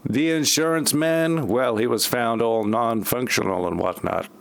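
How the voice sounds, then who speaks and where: A man's voice: medium pitch, unhurried, gravelly, heavy background noise. A man reading scripted narration for an amateur parody audio play.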